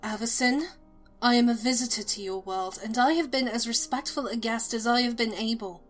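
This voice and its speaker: A woman's voice: on the high side, soft background music, lightly acted. A woman playing a composed, courteous moonfolk traveler who speaks formally and earnestly in an audio drama. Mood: grave, courteous. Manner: formal, measured.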